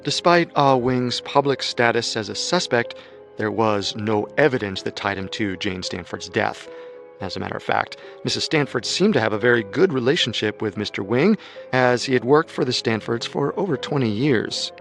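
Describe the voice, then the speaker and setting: A man narrating a true-crime story in a measured, scripted storytelling manner. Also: mid-range voice, animated, creaky, a bit bright, faint music behind.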